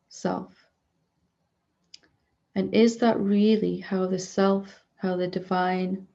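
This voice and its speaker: A woman leading a guided meditation as a spiritual teacher, speaking gently in short unhurried phrases with long pauses between them. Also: fairly low pitch, creaky, highs cut off.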